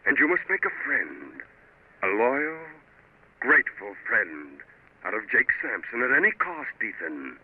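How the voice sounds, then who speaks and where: A man's voice: medium pitch, huge pitch swings, unhurried, breathy edge, full character voice. An actor playing an insidious inner voice that urgently tempts a man to evil, in a vintage radio drama. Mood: sinister urging. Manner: urgent, insinuating. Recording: tinny, phone-like vintage radio recording.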